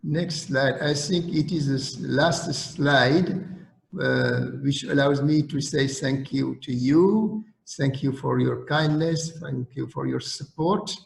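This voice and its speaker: A man with a Senegalese accent giving an online lecture on surgery, speaking deliberately and halting with fillers. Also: mid-range voice, creaky, roomy sound, a little hiss or hum.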